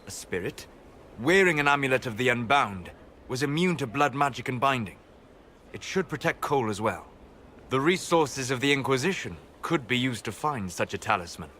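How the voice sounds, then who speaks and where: An actor playing a calm, learned male elf mage who talks in a precise, formal way. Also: medium pitch, normal speed, a little hiss or hum. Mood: scholarly.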